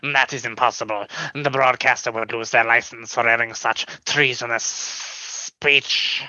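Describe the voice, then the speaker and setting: A man's voice: medium pitch, steady tone, a little slow, bright, forceful tone, lo-fi sound. A man playing a proud, aloof alien officer in a sci-fi radio drama, speaking in a formal, clipped, haughty manner. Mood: curt disbelief. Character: proud, aloof male alien officer, dismissive of his own species.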